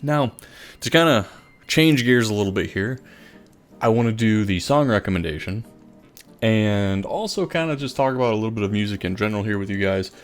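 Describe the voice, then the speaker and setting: A man's voice: fairly low pitch, expressive, brisk. A man doing casual, enthusiastic gaming commentary in an informal, rambling way. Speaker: gaming video host. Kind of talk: casual Minecraft time-lapse commentary. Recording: voice over background music. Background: music.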